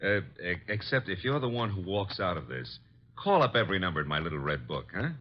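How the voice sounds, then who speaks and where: On a muffled vintage radio recording, a brash, loyal private eye's sidekick. His low voice swings widely in pitch as he delivers slangy, punchy wisecracks. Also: big pitch swings, a little fast. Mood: gallant, wry. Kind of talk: 1940s-50s radio drama acting.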